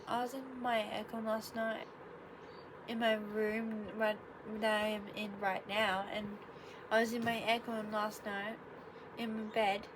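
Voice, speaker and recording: Congested young woman rambling about her day. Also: a little high. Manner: rambling, self-correcting, sarcastic asides. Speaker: vlogger. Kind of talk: casual explainer vlog. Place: her bedroom. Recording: noticeable room noise.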